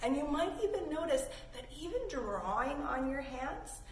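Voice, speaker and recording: A woman hosting a kids' yoga lesson, giving casual, encouraging instructions in an animated way made for young children. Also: sits high, moderate pace. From Canada. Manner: animated, child-directed, addresses listeners as friends. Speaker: children's yoga teacher and host. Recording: roomy echo, distant mic, faint noise.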